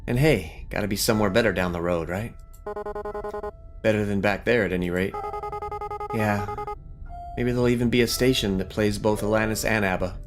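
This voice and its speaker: Guy voicing a tired wistful apocalypse survivor from a game, low voice, reflective, soft music behind. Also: steady tone, moderate pace, long pauses, close to an everyday voice. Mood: wistful, resolute. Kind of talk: reading game dialogue aloud.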